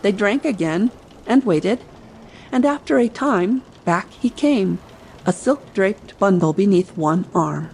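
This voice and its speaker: A woman's voice: medium pitch, expressive, takes it slowly, vocal fry, a little mellow, slight background noise, rough recording. A woman narrator reading a scripted adventure audiobook meant for bedtime listening. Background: ambient sea sound bed.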